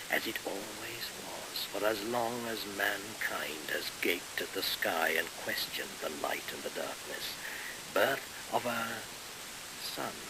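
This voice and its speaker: An actor playing an ancient, solemn and menacing midwinter shaman spirit in a radio play, speaking in incantatory, breathy half-whispers with dramatic pauses. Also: sits low, heavily acted. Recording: hissy 1970s radio recording.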